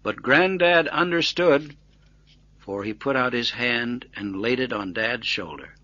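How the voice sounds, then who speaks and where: A man narrating a scripted story in a deliberate, expressive, dramatic way. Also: mid-range voice, no echo, lo-fi sound.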